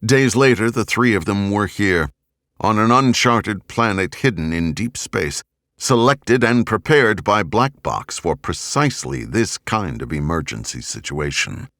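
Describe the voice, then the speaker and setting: Male narrator for dramatic fiction: deep, measured, serious, recorded dry and close. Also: animated, a bit bright, dry recording. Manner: measured, grave, deliberate phrasing. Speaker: audiobook narrator. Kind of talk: scripted dramatic novel narration.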